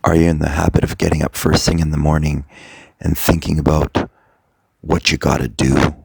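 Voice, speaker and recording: A man with an extremely low, creaky voice leads a guided meditation as a coach. He speaks slowly and deliberately, leaving long pauses between short phrases. The gravelly, husky sound is captured close and dry. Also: little pitch movement, dry recording. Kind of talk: guided meditation, soft motivational talk.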